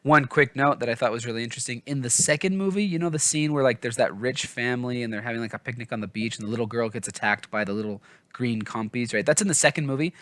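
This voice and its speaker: A man's voice: mid-range voice, fast talker, fairly bright tone, no echo. Casual man chatting about books, dry close sound. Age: adult, father of small children. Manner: informal, self-deprecating, digressive. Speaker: book reviewer and vlog host. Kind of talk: casual, rambling book discussion.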